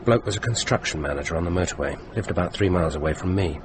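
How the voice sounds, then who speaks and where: A low-pitched British man played by an actor, stranded and morbidly curious, in a radio play. He needles his companion with a dry edge, over clearly noisy ambience. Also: racing, close to an everyday voice. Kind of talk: radio drama acting.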